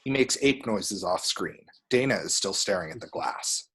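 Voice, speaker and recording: A man reading screenplay action descriptions aloud at a table read, with a husky voice. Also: on the low side, animated, moderate pace, a bit bright.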